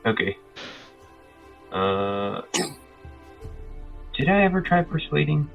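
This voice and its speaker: A man's voice: a little high, sing-song, slow, creaky. A man chatting casually as a player in a tabletop game, hesitant and unsure, over a narrow, muffled voice line. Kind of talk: casual chat. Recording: muffled phone-like voice line, faint music.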